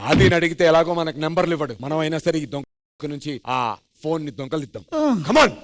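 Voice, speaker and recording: A man hosting a dance show with comic skits, talking in a loud, exclamatory way. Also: a little high, fairly even intonation, quick pace, a little hiss or hum. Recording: loud music over the voice.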